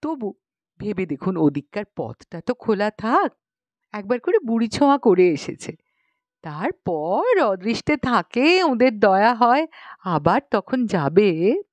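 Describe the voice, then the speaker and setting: A woman's voice: medium pitch, sing-song, slow pace, a little mellow, no echo. A woman voicing a pious, chatty young village matron in an audiobook, explaining things knowingly in colloquial rural Bengali speech with exclamations. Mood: pious, resigned. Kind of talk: audiobook character dialogue.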